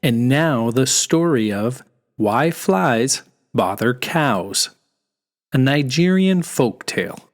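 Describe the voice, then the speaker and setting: A storyteller giving an expressive scripted narration of a folktale for children. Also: medium pitch, slow, faint music behind.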